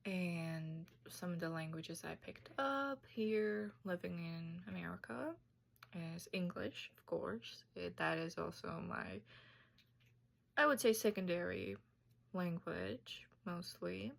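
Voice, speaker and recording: Young woman, slow and thoughtful, a bit gravelly. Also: fairly low pitch. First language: Tajik. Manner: unhurried, thoughtful, slightly halting. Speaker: student. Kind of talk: casual class presentation video.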